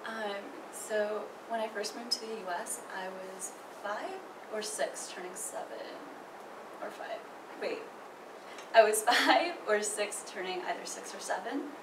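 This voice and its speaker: A woman's voice: mid-range voice, unhurried. A young woman whose first language is not English, telling a childhood story in a chatty way with many ums. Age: young adult. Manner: chatty, many ums, laughs between phrases. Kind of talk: casual personal anecdote. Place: small indoor room by a theatre poster. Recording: roomy, distant, noisy.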